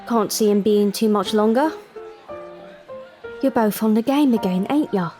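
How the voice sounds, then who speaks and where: An actress playing a weary, sharp-tongued barmaid in an audio drama, wry and guarded, with a working-class English accent. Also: female voice, medium pitch, a little slow, faint music behind, natural voice.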